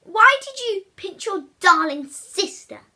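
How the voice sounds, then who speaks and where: Woman playing a stern, sharp scolding mother. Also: extremely high pitch, big pitch swings, slow, pitched above the performer's own voice, full character voice. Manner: scolding, declarative. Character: stern, accusing mother, strict and disbelieving.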